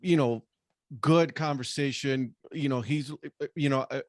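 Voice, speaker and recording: A podcast host from Puerto Rico chats easily in a mid-pitched male voice. He strings his thoughts together with constant "you know"s, and the recording is dry and close. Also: unhurried, dry recording. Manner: filler-heavy, lots of "you know". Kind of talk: casual conversation.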